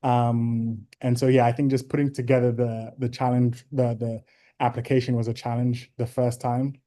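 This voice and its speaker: A young man from Ghana, a guest on a video call interview, answering in a measured, thoughtful way with frequent ums. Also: mid-range voice, flat delivery, mellow.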